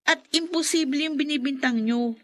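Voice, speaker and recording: A woman's voice: high-pitched, moderate pace, natural voice. A woman playing a reproachful young woman. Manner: reproachful and firm. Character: righteous young woman, scolding. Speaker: friend who knows the truth. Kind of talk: radio drama acting.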